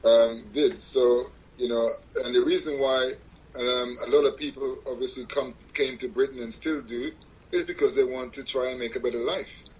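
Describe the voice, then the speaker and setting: A man's voice: mid-range voice, steady tone, moderate pace, darkish tone, breathy edge, poor audio. A man from Jamaica, a guest on a radio show, telling his life story in a rambling way, correcting himself and laughing at his own jokes. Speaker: guest musician. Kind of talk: casual interview conversation.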